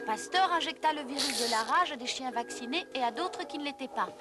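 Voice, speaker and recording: A woman narrates an educational cartoon from a script. Her voice is high, and she explains things like a storyteller. Music is clearly present underneath. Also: lively intonation, a little fast.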